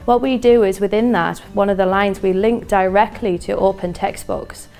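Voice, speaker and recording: A woman's voice: mid-range voice, steady tone, moderate pace, a little mellow. A woman, a skills teacher, speaking enthusiastically with some fillers in a studio interview. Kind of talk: testimonial interview. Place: studio with dark backdrop. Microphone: lapel mic.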